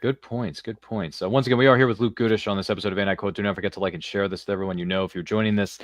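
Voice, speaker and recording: Fast-talking man hosting a podcast. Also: on the low side, steady tone, no echo, studio quality. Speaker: podcast host. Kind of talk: casual interview chat.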